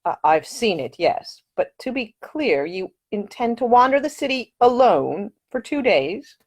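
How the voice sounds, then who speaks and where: A woman's voice: on the high side, very animated, unhurried, darkish tone, pitched above the performer's own voice. A woman voicing a miserable, scowling young English nobleman in an audiobook, with a curt, grumpy and formal manner. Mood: stern, disbelieving.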